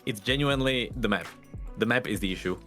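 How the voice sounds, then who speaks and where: A man's voice: lowish voice, fast talker, a bit bright, soft background music. A man chatting casually and emphatically on a gaming podcast, repeating phrases to make his point. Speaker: podcast panelist.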